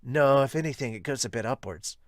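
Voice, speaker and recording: A man voicing an earnest, soft-spoken teenage baseball player in an amateur manga dub. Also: medium pitch, brisk, no echo, studio quality, lightly acted. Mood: calm, thoughtful.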